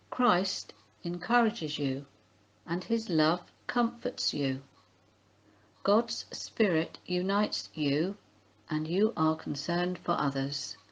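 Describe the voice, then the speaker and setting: A woman reading a Bible passage aloud in measured phrases, on a dull lo-fi recording. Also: on the low side. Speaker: Bible reader.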